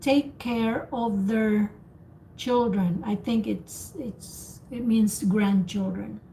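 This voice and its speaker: A woman's voice: mid-range voice, steady tone, takes it slowly, gravelly, warm tone, poor audio. A woman hosting an online English speaking practice, asking questions and giving simple explanations and corrections over a group call. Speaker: host and English speaking teacher. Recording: faint background noise on online call.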